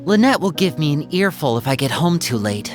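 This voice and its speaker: A woman voicing a calm, considerate, gently amused adult in a fantasy game, polite and thoughtful. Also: deep voice, a little fast, faint music behind. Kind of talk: video game character acting.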